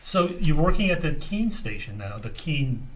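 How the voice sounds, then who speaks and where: A man's voice: fairly high pitch, very animated, normal speed, a little hiss or hum, rough recording. American man, casual encouraging interviewer. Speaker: interviewer, co-host.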